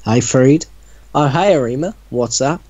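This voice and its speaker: Man acting teenage boy, Australian accent, theatrical delivery, mid-pitched, amateur melodrama reading. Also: measured pace, a little mellow, dull audio, lightly acted. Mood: casual, awkward. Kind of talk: dramatic reading of amateur fiction.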